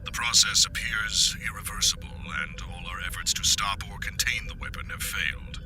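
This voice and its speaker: Man acting as grave alien starship captain, low pitch, measured formal log entries, terse sci-fi game delivery, music underneath. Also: steady tone, lightly acted, poor audio. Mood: grave, worried. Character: terse Andorian starship captain, increasingly worried, reading log.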